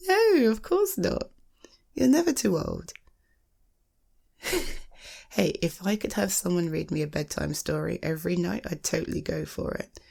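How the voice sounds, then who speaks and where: A British woman playing an affectionate, gently teasing partner in an intimate ASMR bedtime roleplay, soft, breathy and murmuring. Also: on the low side, expressive, heavily acted. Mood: encouraging, playful. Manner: soothing, unhurried, murmuring.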